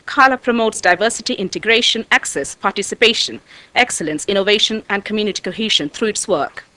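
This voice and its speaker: A woman addresses a council meeting as a guest speaker from an arts organisation. Her mid-pitched voice is croaky. She speaks in a formal presentation style. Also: a little fast, bright, forceful tone, no room sound. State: croaky voice, needing water. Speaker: artistic director of a dance company, guest speaker.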